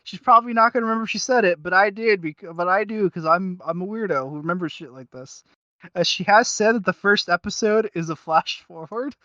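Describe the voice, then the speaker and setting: High, bright-voiced man chatting haltingly. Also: dry recording, muffled recording. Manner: halting, repeats himself, slangy, self-deprecating. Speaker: podcast co-host reviewing audio dramas. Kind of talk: casual chat, fan discussion.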